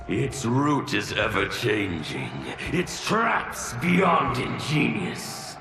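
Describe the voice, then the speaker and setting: An actor playing a sadistic, gloating game villain with grandiose, theatrical delivery. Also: male voice, mid-range voice, vocal fry, full character voice. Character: sadistic male villain, gloating master of a deadly maze. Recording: echoing hall, long reverb, noisy ambience.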